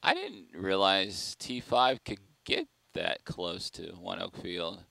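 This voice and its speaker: A man's voice: deep voice, very animated, slow pace, creaky, bright, forceful tone, no echo. A man working as a TV director, calling cues and chatting informally with crew. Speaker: TV director in control room.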